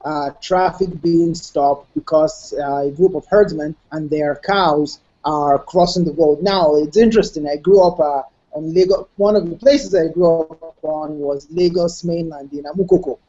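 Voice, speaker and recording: A middle-aged man answering as a guest in a television interview, speaking in a measured, analytical way with frequent pauses. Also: a little high, fairly even intonation, warm tone, muffled recording. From Nigeria.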